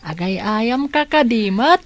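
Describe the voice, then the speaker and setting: A woman as a slow, high-voiced Ilocano niece. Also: animated. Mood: eager, curious. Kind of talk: radio-style drama dialogue.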